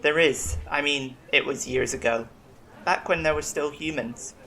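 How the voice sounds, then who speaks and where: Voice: medium pitch, a little slow, pushed and bright. An actor playing an eccentric, long-lived alien medic in an audio drama, reflective, kindly and wryly self-deprecating. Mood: wistful, wry.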